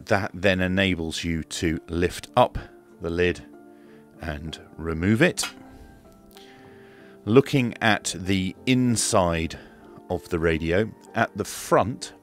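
A man's voice: deep voice, fairly bright tone. A man giving a casual hands-on product demonstration, explaining step by step in a matter-of-fact, hesitant manner. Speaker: radio reviewer and demonstrator. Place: indoor room at a desk.

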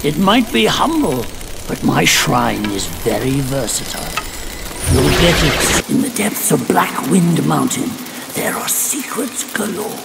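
An actor voicing a spry, folksy old earth god in a video game, scolding but helpful and wry. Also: male voice, fairly high pitch, a little slow, gravelly, noisy background, heavily acted. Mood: advising. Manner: animated, folksy, chatty and wry. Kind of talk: theatrical video game voice acting. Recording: music under the voice. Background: game music.

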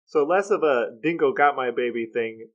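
A man's voice: medium pitch, animated, normal speed, muffled recording. A man co-hosting a podcast in casual chat, with a wry, joking manner. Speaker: podcast co-host.

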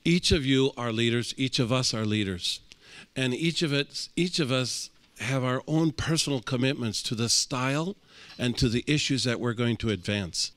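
A man's voice: mid-range voice, moderate pace, a bit bright, no echo. A middle-aged man speaking earnestly and emphatically on a panel into a handheld mic. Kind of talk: panel remarks. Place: conference room.

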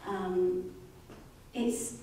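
A middle-aged woman giving a measured, earnest prepared talk as a charity speaker. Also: lowish voice, fairly even intonation, warm tone. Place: indoor library event space with audience. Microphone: handheld microphone. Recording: very echoey room, distant, faint noise.

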